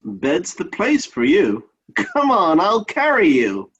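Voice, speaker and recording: An actor plays an affectionate father in an old-time radio drama. His husky voice moves widely in pitch at a slow pace. The sound is slightly dull and lo-fi. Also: wildly swooping pitch, a bit bright, full character voice. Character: affectionate father, home late on Christmas Eve.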